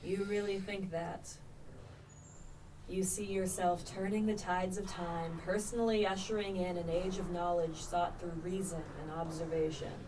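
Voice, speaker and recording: A woman's voice: lowish voice, steady tone, normal speed, dark tone, natural voice. A woman playing a witty young male Elizabethan poet turned spy in a radio play, speaking in a wry, eloquent, literary way. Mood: impassioned, sardonic. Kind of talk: radio play monologue and dialogue. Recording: distant mic with background noise.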